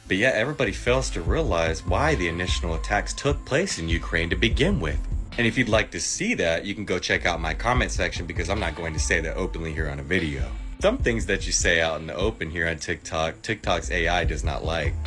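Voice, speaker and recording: A man's voice: low voice, fairly bright tone. A man delivering an insistent political rant to camera, full of sarcastic rhetorical questions. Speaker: TikTok commentator. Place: clip played within a compilation.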